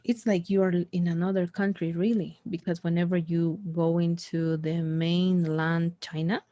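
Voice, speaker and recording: A woman's voice: lowish voice, little pitch movement, moderate pace, mellow, dry recording, highs cut off. A woman chatting loosely in a one-on-one conversation lesson, with many fillers and restarts. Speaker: conversation tutor. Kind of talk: casual conversation practice.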